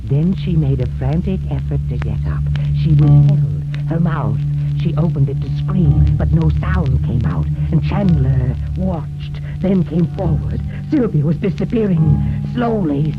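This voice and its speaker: A man narrating a horror tale in theatrical, suspenseful 1930s radio style with dramatic pauses, on a muffled vintage recording. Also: on the high side. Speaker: horror show narrator and host. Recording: muffled 1930s radio, loud music behind.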